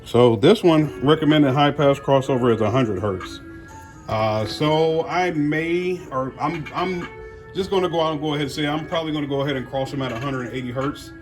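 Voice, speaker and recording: A man's voice: on the high side, moderate pace, music in the background. An American man giving casual unboxing commentary as a car audio vlogger, talking in a folksy, slangy way.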